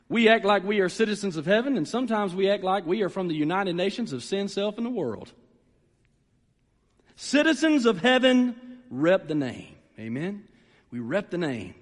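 A man's voice: sits high, sing-song, normal speed. A man preaching a sermon as a pastor, conversational yet emphatic, repeating phrases and checking in with his listeners. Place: large room, preaching to a gathered congregation. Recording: reverberant hall sound.

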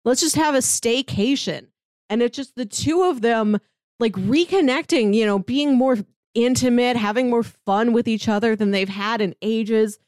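A woman co-hosting a podcast in casual chat, telling stories animatedly, acting out quotes and slipping in quick asides. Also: on the high side, no echo. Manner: animated storyteller, acts out quotes, quick asides, laughs often. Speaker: podcast co-host.

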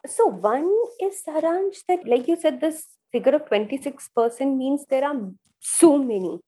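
A woman's voice: sits high, expressive, normal speed, dark tone. A woman with an Indian accent, a professor whose first language is Kangri, answering interview questions earnestly and often repeating words as she thinks. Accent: Indian English. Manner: earnest, repeats words while thinking, addresses host by name. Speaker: education professor, guest.

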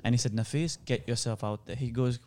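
A man's voice: medium pitch, a little fast. A man with a Sri Lankan accent, a guest actor telling stories in a casual interview and laughing often. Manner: animated storytelling, laughs often.

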